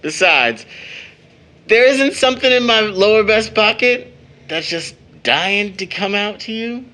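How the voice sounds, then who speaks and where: Young uncle in an audio drama, kinda high bright voice, formal, takes his time. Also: lively intonation, measured pace, heavily acted. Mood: teasing. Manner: theatrical, mock-formal. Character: playful, teasing young uncle, mock-pompous, proud, later embittered.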